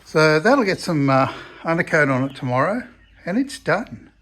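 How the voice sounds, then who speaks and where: An Australian man with a croaky voice talking casually about his restoration work, rambling and chatty with a self-deprecating humour. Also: fairly high pitch, sing-song, normal speed, a bit bright. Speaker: car restoration vlogger. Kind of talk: casual workshop commentary. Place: home shed.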